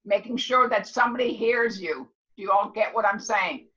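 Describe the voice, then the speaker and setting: Woman in her seventies, class instructor, forceful bright tone, emphatic, repetitive, motherly, dull online-call sound. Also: mid-range voice, moderate pace. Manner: emphatic, repeats herself and checks listeners understand; loud, clear talker. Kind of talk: casual motivational class talk.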